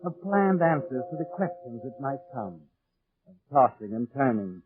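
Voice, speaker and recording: Ominous, expressive 1940s radio mystery narrator, male. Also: mid-range voice, moderate pace, husky, dry recording. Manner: ominous, insinuating. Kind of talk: 1940s radio suspense narration, second person. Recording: old 1940s radio, narrow muffled band. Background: dramatic music.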